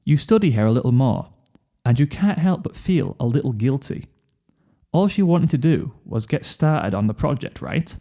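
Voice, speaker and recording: A man's voice: medium pitch, lively intonation, moderate pace, warm tone. A man narrating a second-person fan fiction story.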